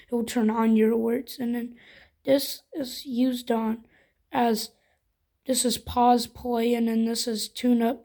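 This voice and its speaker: A woman with a fairly high voice gives a casual, hands-on product demonstration. She explains each step informally, as a reviewer showing how things work. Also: mellow.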